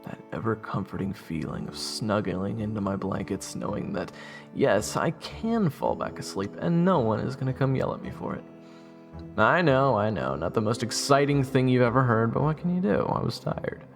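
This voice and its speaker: A man's voice: on the low side, big pitch swings, moderate pace, vocal fry. A man in his twenties playing an easygoing, wry young man who narrates his own story in an audio drama. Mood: relaxed, wry. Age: 20s. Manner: casual, conversational, wry. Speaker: narrator and lead character. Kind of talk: first-person audio drama narration and dialogue. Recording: music bed behind voice.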